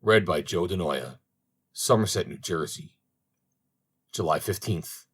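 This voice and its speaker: Male storybook narrator, somewhat low register, scripted fairy-tale reading. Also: lively intonation, measured pace, slightly rough. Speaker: audiobook narrator.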